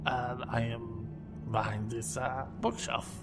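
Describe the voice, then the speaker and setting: A man's voice: mid-range voice, fairly even intonation, takes it slowly, over music. A man playing a timid, bumbling archive assistant in a sci-fi audio drama, hesitant, stammering and apologetic. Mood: cowering. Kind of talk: fan audio drama acting.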